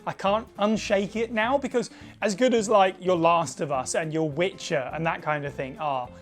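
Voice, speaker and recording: A man presenting a casual gaming opinion piece, chatty and enthusiastic, with fillers along the way. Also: fairly high pitch. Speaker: video presenter.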